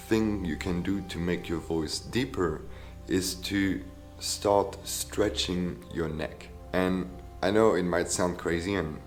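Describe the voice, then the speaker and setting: A man teaching as a voice coach in a casual video tutorial, enthusiastic and often checking in with "yeah?". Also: deep voice. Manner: enthusiastic, tags sentences with "yeah?".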